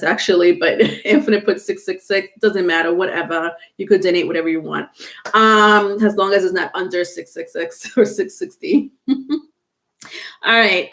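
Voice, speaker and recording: A woman with a stuffy nose doing a casual live tarot stream, chatting with viewers and full of fillers, without a microphone. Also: lowish voice. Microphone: no microphone, device mic.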